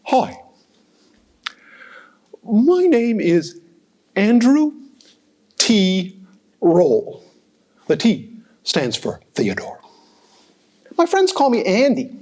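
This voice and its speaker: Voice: mid-range voice, drawn-out words, long pauses, voice pushed up, exaggerated voice. A storyteller playing a big, misunderstood troll who introduces himself in a chatty, touchy way. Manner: chatty, touchy, swinging from calm to outburst. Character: big sensitive troll telling his side.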